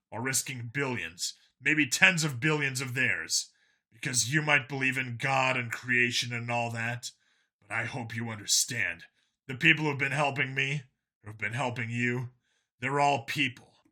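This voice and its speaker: A man's voice: medium pitch, sharp tone. A man voicing an earnest, argumentative, world-weary male cop in an audiobook, arguing in long, insistent speeches. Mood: quiet, pleading.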